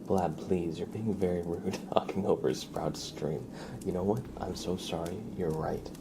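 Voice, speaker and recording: Low, creaky-voiced man doing soft ASMR. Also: steady tone, measured pace, noisy background. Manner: playful, mock-serious, doting talk about and to a pet. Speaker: ASMR live streamer. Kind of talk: soft-spoken ASMR livestream chat, often dropping into whispers.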